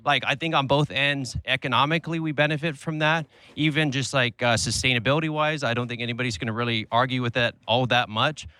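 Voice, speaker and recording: Bright-voiced man arguing earnestly. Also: mid-range voice, fairly even intonation, fast, hardly any pauses, clear, resonant tone, no room sound. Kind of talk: group debate.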